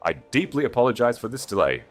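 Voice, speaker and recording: A man's voice: mid-range voice, very animated, quick pace, faint music behind, pitched above the performer's own voice. Man playing a proud, desperate aristocratic groom. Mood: forced composure. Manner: formal, aristocratic, projecting. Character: proud aristocratic groom, desperate, masking panic with false composure.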